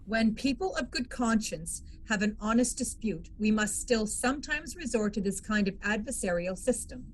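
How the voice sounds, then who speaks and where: A woman's voice: fairly high pitch, animated, moderate pace, close to an everyday voice, rough recording. A woman playing a firm, by-the-book military legal officer in a table read, formal and authoritative, with some background noise. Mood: stern. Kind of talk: amateur table read of a sci-fi script.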